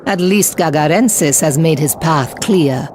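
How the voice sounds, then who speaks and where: An actress playing a composed, resolute warrior leader of myth in a video game. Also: female voice, sits low, measured pace, a little mellow, slight background noise. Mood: watchful. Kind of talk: video game cutscene acting.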